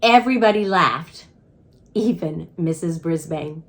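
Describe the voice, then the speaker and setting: A woman reading a children's book aloud as a reading coach, with expressive narration. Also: low-pitched, a little slow. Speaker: reading coach, storybook reader.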